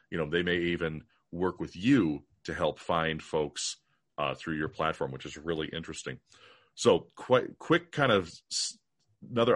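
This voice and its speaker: Deep-voiced American male podcast host. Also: fairly bright tone. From United States. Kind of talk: casual interview chat.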